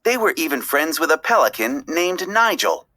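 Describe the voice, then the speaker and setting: A woman reading a children's story aloud as an expressive, dramatic narrator. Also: low-pitched, moderate pace. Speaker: storybook reader.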